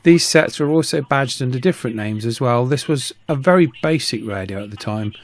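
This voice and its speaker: A man's voice: mid-range voice, lively intonation, a little fast. A middle-aged man reading a scripted voice-over narration as an online video host. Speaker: YouTube host, radio hobbyist.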